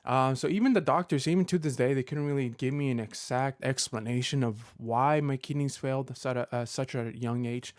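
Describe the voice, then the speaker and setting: A man in his thirties talks straight to his viewers in a casual vlog, stopping and restarting often with lots of fillers. His voice is smooth and resonant, at an ordinary pitch. It is recorded close up and dry, with no room sound. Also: no echo. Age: 30s. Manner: halting, many fillers and restarts. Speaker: Pokemon content creator. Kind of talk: personal vlog update.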